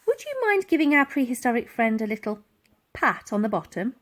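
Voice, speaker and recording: A woman's voice: on the high side, sing-song, fairly quick. A woman voicing a brave, bossy young girl in a children's adventure story, urgent and commanding. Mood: encouraging.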